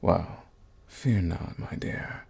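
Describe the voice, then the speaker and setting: Man acting a low, gravelly, predatory vampire lord. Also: darkish tone, full character voice. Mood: smooth, bargaining. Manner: theatrical, silky, condescending endearments, drawn-out phrasing. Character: suave sadistic male vampire lord, predatory, mocking, possessive. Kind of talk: ASMR roleplay acting.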